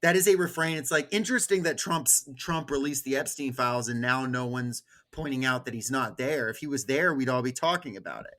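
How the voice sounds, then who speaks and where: A man's voice: medium pitch, fairly quick, few pauses, pushed and bright. A man chatting casually about politics as a podcast co-host, with a wry, ironic way of talking.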